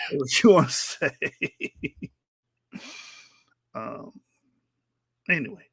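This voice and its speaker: A man's voice: a little high, wildly swooping pitch, drawn-out words, vocal fry, fairly bright tone, slightly rough, dry recording. A young man co-hosting a casual reaction show, laughing often and trash-talking in loose slang. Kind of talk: casual mocking commentary.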